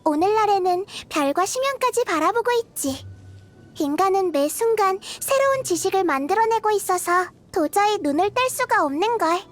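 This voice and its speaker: A woman voicing a gentle, curious little girl deity of wisdom in a game, soft-spoken and thoughtful, as if kindly explaining. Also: very high voice, expressive, normal speed. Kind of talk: scripted game character voice lines. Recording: music behind the voice. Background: background music.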